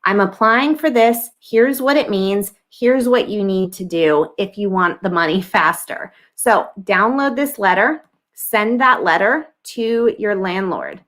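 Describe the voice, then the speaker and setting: A woman's voice: mid-range voice, lively intonation, unhurried, a bit bright. An American woman in her thirties hosting a live Q&A stream, her throat scratchy, chatty and thinking aloud with lots of ums. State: scratchy throat, clearing it often. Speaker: livestream host answering viewer questions. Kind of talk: casual live Q&A.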